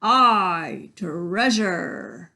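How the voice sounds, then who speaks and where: A woman voicing a rowdy crew of storybook pirates, chanting and shouting drawn-out replies. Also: medium pitch, animated, vocal fry, heavily acted. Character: rowdy crew of male pirates echoing their captain.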